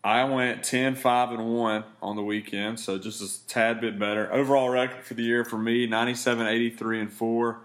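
A man hosting a casual betting podcast, speaking in a laid-back, rambling way with a raspy voice. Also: mid-range voice, level tone, normal speed, few pauses, a bit bright. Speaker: podcast host.

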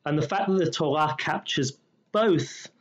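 A man, a rabbi co-hosting a podcast, speaking in a measured and thoughtful way, slowing down on key phrases. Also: fairly high pitch. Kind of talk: reflective conversational discussion.

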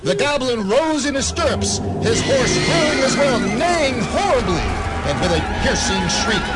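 A man narrating a radio play in a theatrical way, building tension through the telling. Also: high voice. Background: music.

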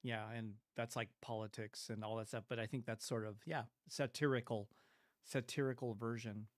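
A man's voice: mid-range voice, steady tone, normal speed, no echo. Blunt, opinionated man in a dry room. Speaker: podcast co-host. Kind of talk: casual chat about films.

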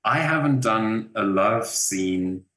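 A man's voice: lowish voice, animated, slow, pushed and bright. A man in his fifties with a German accent, an actor chatting in an interview, rambling with fillers and restarts. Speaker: actor guest. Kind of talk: casual interview. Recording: roomy echo.

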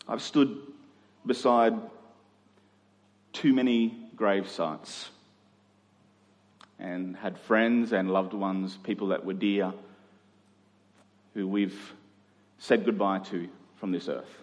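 A man preaching a sermon as a pastor, swinging between emphatic and hushed delivery with rhetorical questions. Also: on the low side, steady tone, slow pace, pauses often. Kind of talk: sermon to a congregation. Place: large reverberant church hall. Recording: long hall reverb.

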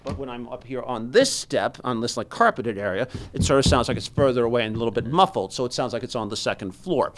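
A man's voice: medium pitch, expressive, quick pace, few pauses. A man working as a Foley artist, explaining things casually and enthusiastically, often rambling and interrupting himself. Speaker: radio play Foley artist.

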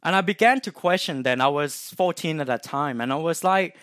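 A young Thai man with a Thai accent gives a personal testimony to a gathered audience. His voice sits a touch higher than most men's, and it is recorded dry and close with no room around it. He talks loosely, stopping often on "um" and restarting his phrases. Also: fairly quick, a bit bright, no room sound. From Thailand (Chiang Mai). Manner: chatty and informal, many "um"s and restarts, asks the audience questions. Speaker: guest speaker sharing testimony.

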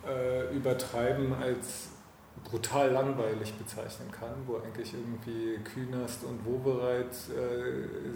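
A man's voice: medium pitch, a little mellow. A man in a casual political conversation as interviewer, thinking aloud in a rambling way. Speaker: podcast host and interviewer. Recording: roomy echo, clear background noise.

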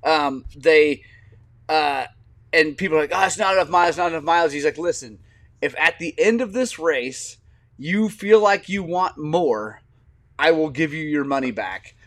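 A man in his forties, chatting on a podcast and telling stories with lots of laughter. His voice sits a little high for a man. The sound is close and dry, with almost no room around it. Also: moderate pace, no room sound. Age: 40s. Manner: animated storyteller, laughs often. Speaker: podcast host. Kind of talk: casual podcast chat.

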